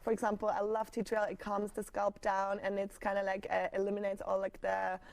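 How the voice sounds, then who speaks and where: A woman's voice: mid-range voice, completely flat delivery, fairly quick, gravelly, darkish tone, a little hiss or hum. A young woman from Austria, talking in a casual interview and speaking hesitantly with many fillers and restarts. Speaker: studio guest, circus performer.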